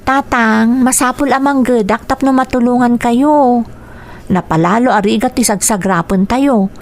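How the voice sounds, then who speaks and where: A woman's voice: a little high, normal speed, close to an everyday voice. An actress with an Ilocano accent playing a sweet, determined teenage girl in a radio drama. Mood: reassuring, pleading. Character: sweet, determined sixteen-year-old daughter seeking work.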